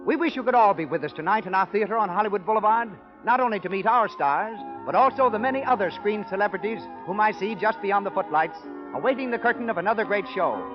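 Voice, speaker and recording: A man's voice: high-pitched, fast, hardly any pauses. An American man announcing a 1930s radio show with a polished, declamatory delivery. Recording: dull old 1930s radio broadcast. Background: music.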